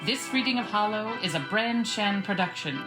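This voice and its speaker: A woman's voice: mid-range voice, expressive, normal speed, lightly acted. Woman acting spooky horror-show narrator over music. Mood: ominous, welcoming. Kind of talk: theatrical scripted narration.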